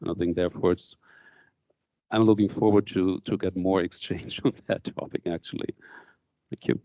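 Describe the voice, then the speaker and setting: A man's voice: deep voice, steady tone, moderate pace, dark tone, no echo, dull audio. A man who heads a program, making a comment at a workshop, often repeating words and saying "I mean". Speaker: program head. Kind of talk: conference comment.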